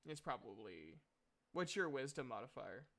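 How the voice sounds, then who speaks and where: A man running a tabletop game as game master, narrating deliberately and haltingly and chatting with players. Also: mid-range voice, sing-song, a bit bright.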